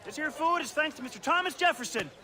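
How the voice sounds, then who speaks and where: An actor playing an excitable poor townsman in a game, with a folksy, colloquial way of talking. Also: very high voice, quick pace, a little hiss or hum, full character voice. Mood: elated. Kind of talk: scripted game drama dialogue. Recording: busy outdoor crowd behind voice.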